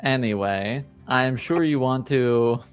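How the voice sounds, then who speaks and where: A man's voice: medium pitch, slow pace, clean tone, faint music behind. A man acting as game master, narrating a tabletop game in a casual conversational way.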